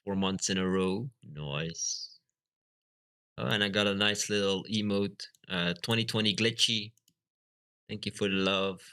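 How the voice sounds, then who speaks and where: A Flemish man from Belgium whose first language is Dutch, chatting casually on a live stream, halting and full of fillers. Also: deep voice, steady tone, bright, forceful tone, smooth. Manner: halting, lots of fillers and restarts. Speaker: livestreamer.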